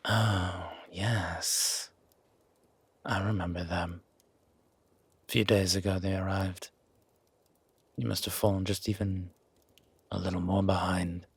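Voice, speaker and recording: Gruff werewolf founder, played by a male actor: heavily rasping low voice, slow guarded delivery, dry and protective, for roleplay audio drama. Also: little pitch movement, no echo, heavily acted. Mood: calm, measured. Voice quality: husky, gravelly rasp. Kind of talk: roleplay audio drama, intimate one-sided dialogue.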